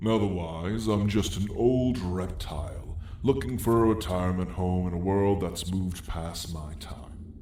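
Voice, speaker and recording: Man voicing a slow, deep ancient dragon lord. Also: a little mellow. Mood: weary, wry. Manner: slow, grandiose, wry, old-fashioned storytelling. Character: ancient male dragon lord, weary, dryly menacing retiree. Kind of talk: ASMR roleplay monologue. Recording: roomy echo, faint background noise.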